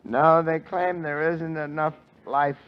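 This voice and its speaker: A man playing a naive, lovestruck sidekick in 1940s radio comedy banter, delivering punchlines slowly. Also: fairly high pitch, steady tone. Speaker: comic sidekick. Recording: dull noisy old radio broadcast.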